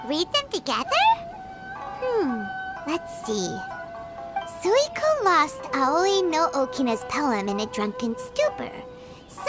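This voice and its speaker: A woman voicing a tiny, excitable fairy companion girl who refers to herself by name, in a game cutscene. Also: heavily acted, low-quality recording. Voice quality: high squeaky childlike. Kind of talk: game voice acting. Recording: game cutscene with music underneath. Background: game music.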